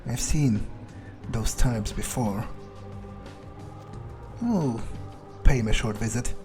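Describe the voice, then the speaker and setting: A man's voice: medium pitch, slow, music in the background. A man playing a video game fully in character, talking in a dry, blunt, laconic way with some cursing. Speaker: gamer roleplaying his character. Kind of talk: in-character game roleplay commentary.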